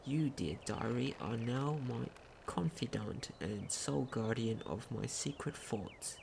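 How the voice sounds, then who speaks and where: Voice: mid-range voice, lively intonation, measured pace, gravelly, darkish tone. A gaming commentator talking casually and reading in-game text aloud, sometimes stumbling over words. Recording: home recording with faint noise.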